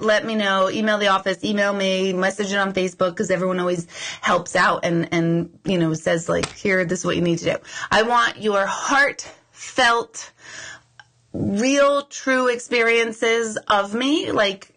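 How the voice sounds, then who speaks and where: A woman's voice: a little high, sharp tone. A woman in her forties, a medium hosting a casual live chat with viewers, talking in a rambling way full of asides and mock outrage. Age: 40s.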